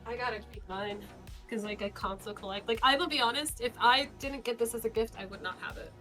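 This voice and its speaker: A woman's voice: a little high, fast talker, pushed and bright, faint music behind. A woman co-streaming a game in casual chat, using lots of "like" fillers and trading teasing banter.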